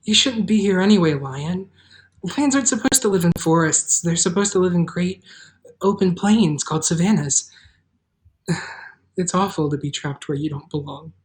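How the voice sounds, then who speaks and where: A woman's voice: lowish voice, normal speed, natural voice. A woman playing a sensible, plucky young farm girl in a live table read, frank and decisive. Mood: kindly. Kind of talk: live table read of a children's fantasy story.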